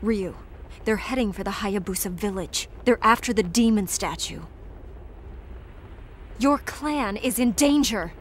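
A woman's voice: medium pitch, a little slow, slight background noise, natural voice. An actress playing a serious female government agent in a video game, earnest and urgent. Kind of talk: dramatic video game acting.

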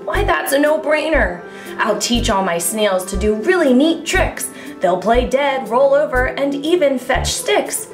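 Lady reading as a hyped up little schoolboy bragging in rhyme, storybook style, really swoopy pitch, echoey with music. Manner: theatrical, eager, declaiming in rhyme. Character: excitable boastful young schoolboy with big daydreams. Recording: echoey room with music underneath.

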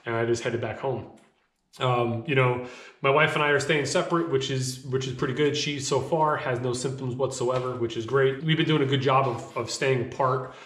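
Man in his thirties, casual vlog talk, slightly hoarse, rambling fillers, echoey small room. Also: medium pitch, fairly even intonation, moderate pace, fairly bright tone. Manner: conversational, rambling with fillers and restarts. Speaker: vlogger. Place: small home office, indoors.

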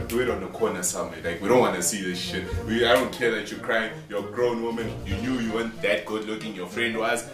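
A man in his twenties telling a story for a casual vlog in a slangy, jokey, theatrical and repetitive way. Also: on the low side. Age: 20s. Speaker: vlogger. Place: small indoor room at home. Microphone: camera mic a few steps away. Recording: roomy, distant mic under music. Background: music.

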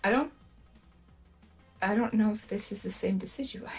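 A woman's voice: medium pitch, moderate pace, a little mellow, breathy edge, faint music behind. A woman playing in a gaming group, chatting casually with friends. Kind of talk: casual chat while gaming.

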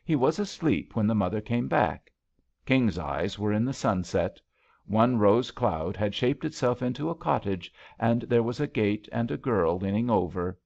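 A man's voice: on the low side, expressive, moderate pace, gravelly, darkish tone. A man reading an old-fashioned love story aloud as a scripted audiobook narration. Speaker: audiobook reader. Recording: slightly dull, lo-fi audiobook recording.

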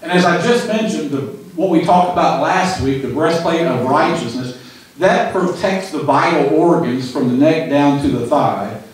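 A man in his sixties preaching a sermon in a small church hall into a handheld mic. He talks in a folksy, halting way, repeating words and asking "Amen?" often. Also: a little high. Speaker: pastor. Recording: echoey room, mic picked up from a distance.

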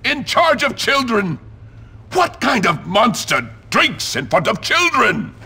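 Stern sanctimonious teacher, forceful, hugely animated pitch, pompous, accusing, video game character. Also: male voice, slow, slight background noise, heavily acted. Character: stern, sanctimonious middle-aged male schoolteacher. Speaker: schoolteacher.

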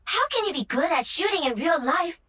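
A high, bright and very lively young woman, cocky and playful like a star gamer in a video game. She teases and brags with cheek. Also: fairly quick, full character voice. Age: young adult. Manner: cheeky, bragging, teasing.

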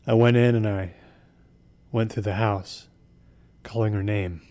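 An actor playing a haunted, obsessive, embittered man in an audio drama, speaking in a halting, deliberate way. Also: lowish voice, fairly even intonation, mellow, close to an everyday voice. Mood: bleak, haunted. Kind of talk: audio drama acting, brooding confessional storytelling.

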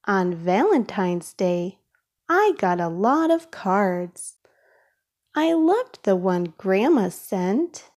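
A woman reading a children's storybook aloud in a careful, expressive way for young listeners. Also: mid-range voice, slow. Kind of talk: read-aloud storybook narration.

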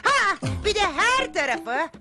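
A woman's voice: very high voice, sing-song, takes it slowly, full character voice. A woman voicing a haughty, demanding female insect aunt in a dubbed cartoon. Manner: imperious, demanding, theatrical. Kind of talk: cartoon dub acting. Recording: music behind the voice.

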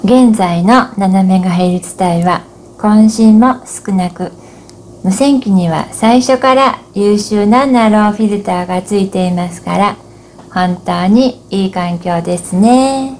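A woman's voice: medium pitch, takes it slowly, a little mellow, a little hiss or hum. A middle-aged woman giving scripted comments as the co-host of an instructional show. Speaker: co-host of an amateur radio Morse code channel.

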